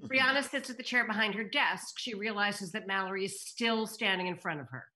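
A woman's voice: mid-range voice, brisk, pushed and bright, low-quality recording. An older woman reading scripted stage directions aloud over a video call. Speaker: table-read narrator reading stage directions. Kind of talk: scripted narration read aloud. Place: room at home.

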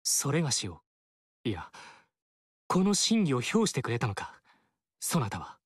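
A man's voice: mid-range voice, huge pitch swings, measured pace, husky, no echo, clean studio sound, heavily acted. An actor playing an earnest, righteous young male warlord in a video game, speaking in a resolute, formal way. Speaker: game character. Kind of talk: game dialogue acting.

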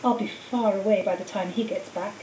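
A woman voicing a cold, treacherous male wizard teacher in an audiobook, speaking in a calm, menacing, matter-of-fact way. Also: medium pitch, a little fast, natural voice. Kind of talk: audiobook character voice. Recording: roomy echo, distant mic, faint hiss.

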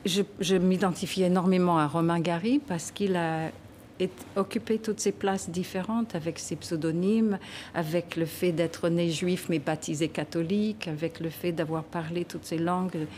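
Woman, 60s, from Canada, guest author in a TV studio, low voice, faint ambience. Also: steady tone, normal speed, a little mellow, a little hiss or hum. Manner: thoughtful, emphatic, gesturing while talking. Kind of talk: TV studio interview. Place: TV news studio. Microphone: desk microphone.

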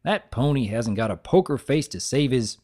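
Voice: mid-range voice, animated, normal speed, a little mellow, husky. A narrator voicing an easygoing big country stallion from a cartoon, terse and dry.

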